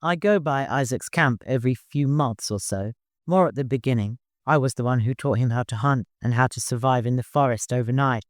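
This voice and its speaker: A reserved working man, voiced by a male narrator, speaks bluntly and earnestly, with a troubled air. The storybook voice is medium in pitch and recorded dry. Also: dry recording, close to an everyday voice. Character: reserved, troubled, plain-spoken working man, caravan guide.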